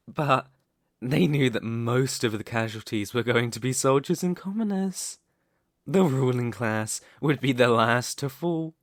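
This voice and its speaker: A man's voice: mid-range voice, no echo, lightly acted. A man voicing a dominant, smug Demon King in an ASMR roleplay, taunting and persuasive, with a theatrical, mocking delivery close to the microphone.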